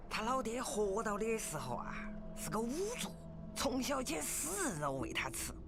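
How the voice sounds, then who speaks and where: A woman's voice: fairly high pitch, heavily acted. An actress voicing a rustic, doting, superstitious mother in a video game, with a Sichuanese accent and a folksy storytelling manner. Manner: folksy, drawn-out, expressive. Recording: game music behind the voice.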